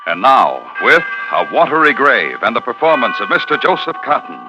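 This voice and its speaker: Animated male radio suspense announcer. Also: mid-range voice, moderate pace, over music, low-quality recording. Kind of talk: dramatic 1950s radio announcing.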